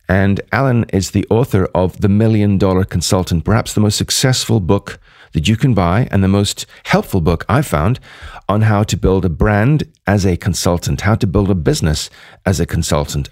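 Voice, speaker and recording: Man, hoarse, very low voice, podcast host, quick with restarts, dry sound. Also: darkish tone, no echo. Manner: speaking rather quickly, with frequent restarts. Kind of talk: solo podcast episode wrap-up. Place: at a sales conference.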